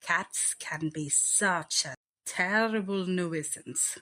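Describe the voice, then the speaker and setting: A dapper, obliging gentleman rat from a storybook, as a woman reader plays him. He speaks with formal courtesy, in a lowish voice and at a very leisurely pace. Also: slow, dry recording. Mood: genteel. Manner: formal, polite. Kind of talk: children's storybook character voice.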